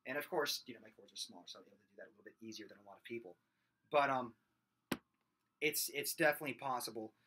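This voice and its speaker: A man's voice: very fast, bright, forceful tone, a little hiss or hum. A man in his thirties with a small, nasal, somewhat whiny voice, giving a casual singing lesson to a webcam in a room at home, talking with his hands. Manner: talks with his hands, demonstrates belted high screams. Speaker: vocal coach. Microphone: webcam at desk distance.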